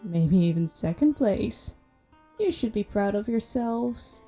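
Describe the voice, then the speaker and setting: A woman playing a haughty vampire rival in an audio drama, husky and creaky, with condescending drawl. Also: medium pitch, lively intonation, slow, dark tone, soft background music. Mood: smug. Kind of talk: cinematic audio roleplay acting.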